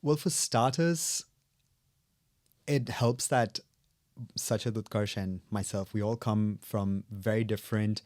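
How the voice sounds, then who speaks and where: A man's voice: lowish voice, takes it slowly, pauses often, darkish tone, no room sound, clean studio sound. A young man from South India, a native Telugu speaker, telling his story earnestly and reflectively as a guest in a podcast interview. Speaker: guest, fragrance brand co-founder.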